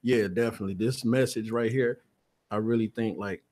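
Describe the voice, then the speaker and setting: Low-voiced American man, casual guest. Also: fairly even intonation, normal speed, a little mellow. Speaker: stage play actor, interview guest. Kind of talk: casual chat.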